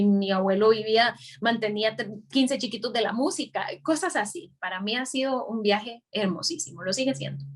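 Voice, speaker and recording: A young Costa Rican woman from Guanacaste, a musician guest, talking reflectively in a live video chat with many small restarts. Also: medium pitch. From Costa Rica, Guanacaste. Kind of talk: casual interview over a live video stream. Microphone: video call.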